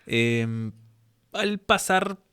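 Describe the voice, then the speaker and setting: A man's voice: medium pitch, animated, very slow, sharp tone, smooth. A man with an Argentine Spanish accent hosting a casual interview in meandering, hesitant phrases. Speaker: interview host.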